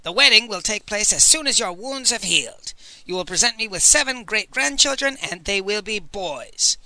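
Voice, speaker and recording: A man voicing an enormous, cold old woman tyrant who grunts and growls her orders in a loud, clipped way. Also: high-pitched, animated, moderate pace, no room sound, pitched above the performer's own voice, heavily acted, rough recording. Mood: commanding.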